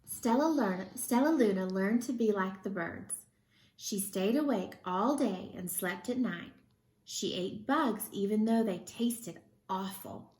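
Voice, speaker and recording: A woman reads a children's story aloud. Her narration is expressive and takes its time, with slow phrasing. The sound is roomy and echoing. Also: medium pitch. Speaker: storybook reader.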